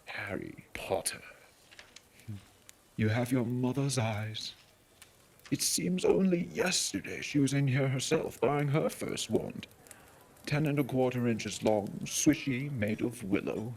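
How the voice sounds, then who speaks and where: A man voicing an eccentric, eerie old wandmaker, soft-spoken and musing, in a theatrical storybook reading. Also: medium pitch, animated, husky, exaggerated voice. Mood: wistful, hushed. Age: older. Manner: musing, theatrical, drawn-out phrasing with sudden eager bursts. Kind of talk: dramatic audiobook reading with character voices.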